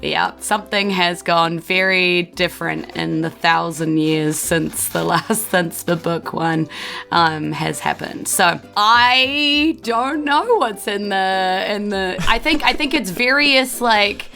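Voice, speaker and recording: A woman's voice: lowish voice, slow pace, gravelly, bright, forceful tone, faint music behind. A woman with a New Zealand accent, running a tabletop game and explaining the world in a rambling, hesitant way. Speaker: game master.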